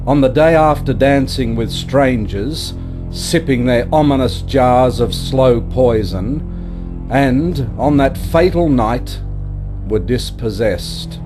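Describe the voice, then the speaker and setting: Male poetry reader, unhurried and measured, medium pitch, guitar playing behind. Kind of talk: poetry recitation. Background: plucked guitar music.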